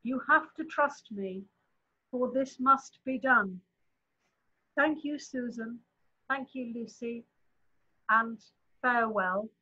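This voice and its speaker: A woman playing a grave, gentle, sorrowful great lion in a screenplay read-through. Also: a little high, slow, long pauses, breathy edge, lo-fi sound, exaggerated voice. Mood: solemn, gentle.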